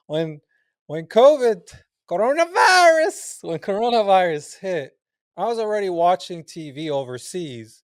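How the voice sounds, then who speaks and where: A man's voice: on the high side, no echo, clean studio sound. A man in his forties from Palestine, telling stories as an interview guest and acting out the dialogue with frequent laughs. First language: Arabic. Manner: animated, acts out quoted dialogue, laughs often. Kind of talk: casual interview storytelling.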